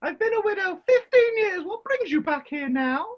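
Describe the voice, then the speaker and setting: Very shrill, nagging pantomime widow. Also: female voice, big pitch swings, moderate pace, muffled recording. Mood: nosy, comic. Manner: exaggerated, nagging, comic. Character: scolding, dramatic middle-aged widowed mother, pantomime dame. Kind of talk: rhyming pantomime acting.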